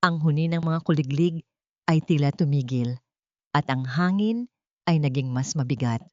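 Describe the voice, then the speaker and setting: Low-voiced woman narrator, dull dry sound. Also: normal speed, dark tone, no echo. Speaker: audiobook narrator. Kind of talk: dramatic scripted narration of a love-story drama.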